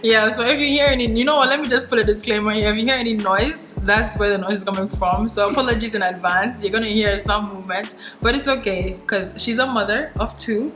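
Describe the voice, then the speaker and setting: A woman's voice: medium pitch, fairly quick, few pauses. A woman from Ghana hosts her vlog in a loose, chatty way, laughing easily between questions. The room is echoey and music plays behind her. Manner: chatty and giggly. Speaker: vlogger host. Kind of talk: casual chat with a guest.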